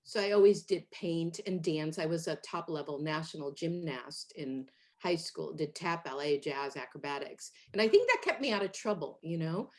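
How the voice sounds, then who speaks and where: A middle-aged woman from Hungary, a guest in an interview, telling her story in a lively way with fillers and laughs. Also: low-pitched, moderate pace, hardly any pauses. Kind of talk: conversational interview.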